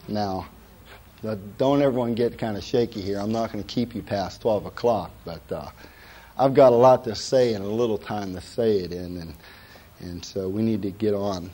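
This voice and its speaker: Hoarse preacher with a dark, mellow voice. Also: male voice, mid-range voice. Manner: folksy, joking asides, many "uh" fillers. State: hoarse from a cold. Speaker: pastor. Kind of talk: sermon.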